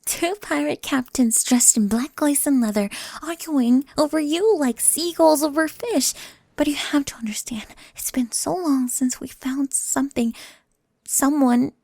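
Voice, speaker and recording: Woman acting out goth lady pirate captain, commanding, possessive, higher-pitched, gravelly edge, intimate ASMR murmur. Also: measured pace, a little mellow, no echo. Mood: intimate, intrigued. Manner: low-key menacing, intimate, breathy murmuring. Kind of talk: scripted ASMR roleplay.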